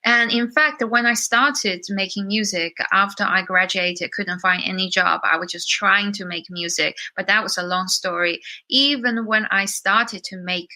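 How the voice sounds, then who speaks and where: A woman's voice: medium pitch, unhurried, few pauses, sharp tone. A woman in her thirties from China, speaking with a Chinese accent touched by British English, telling her life story candidly as a podcast guest, with many fillers. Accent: Chinese with some British. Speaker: guest musician. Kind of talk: podcast interview.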